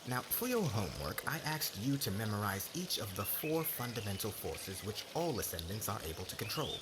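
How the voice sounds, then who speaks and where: A kindly, formal professor of magic played by a man addresses a class in a fantasy audio roleplay. The voice sits fairly low and moves in lively swings, with instructive phrasing. Loud ambient noise runs behind it. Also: normal speed. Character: kindly male academy professor teaching young magic students. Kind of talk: ASMR roleplay acting.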